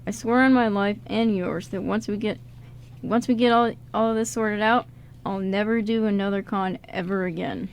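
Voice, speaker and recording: A woman's voice: medium pitch, steady tone, moderate pace, clean tone, close to an everyday voice. A woman playing a guarded, self-doubting male con artist in an amateur radio drama, speaking casually and a little hesitantly. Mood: solemn. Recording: background noise in amateur radio recording.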